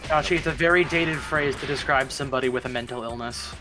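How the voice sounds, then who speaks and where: A man's voice: medium pitch, fast talker. Blunt man coaching over game music. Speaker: friend guiding the player on stream. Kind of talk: casual group gaming chat.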